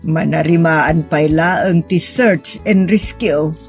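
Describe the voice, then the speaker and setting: A woman's voice: sits low, takes it slowly, darkish tone, faint music behind. A woman playing a patient, middle-aged mother, earnest and consoling, in a sentimental audio drama. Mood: gently consoling.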